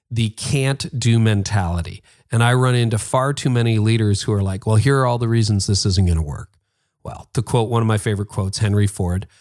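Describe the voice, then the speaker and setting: A man in his fifties with a Toronto Canadian accent, talking emphatically in a casual interview and sarcastically mimicking other people's excuses. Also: on the low side. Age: 50s. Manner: emphatic, animated, mock-quoting excuses with sarcasm. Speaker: podcast host being interviewed.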